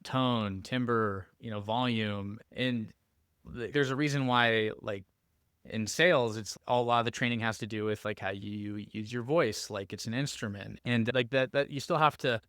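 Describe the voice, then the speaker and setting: A man hosting a podcast in casual conversation, talking informally and haltingly as he works out his thoughts. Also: medium pitch, a bit bright, smooth, no room sound, studio quality. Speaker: podcast host.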